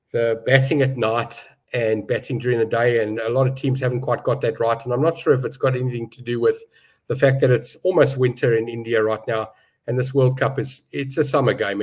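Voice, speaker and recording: A man's voice: medium pitch, steady tone, brisk, darkish tone. Rambling man in his fifties. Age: 50s. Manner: rambling, candid, laughs easily. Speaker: betting pundit. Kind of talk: casual chat on a betting show.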